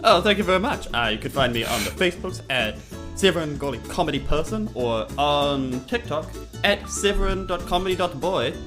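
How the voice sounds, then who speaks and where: Female comic podcast player, slightly low voice, swooping intonation, dry self-deprecation over music. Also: lively intonation, brisk. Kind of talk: casual comic banter. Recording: music behind the voice.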